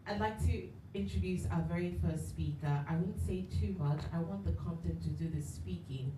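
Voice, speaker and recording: A woman's voice: lowish voice, moderate pace. A woman emceeing a live workshop who introduces the first speaker in an upbeat, casual way. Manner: upbeat, casual, uses slang. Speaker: event emcee. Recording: distant, echoey room with noise.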